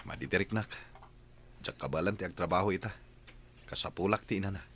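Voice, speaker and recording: An actor with a Filipino accent playing a lazy, irritable young boyfriend in a radio drama, sighing and grumbling dismissively. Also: male voice, low-pitched, brisk, fairly bright tone, a little hiss or hum. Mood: reluctant, weary.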